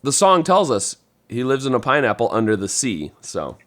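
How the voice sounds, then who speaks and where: A man talks casually as part of a podcast panel. His voice sits at a middle pitch, and he speaks in an informal, conversational way with the others. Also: very animated, moderate pace, a bit bright.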